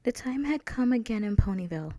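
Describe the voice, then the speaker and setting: A woman's voice: on the high side, lively intonation, a little fast, warm tone, no room sound. A woman reading out her own fan fiction as a scripted storybook narration.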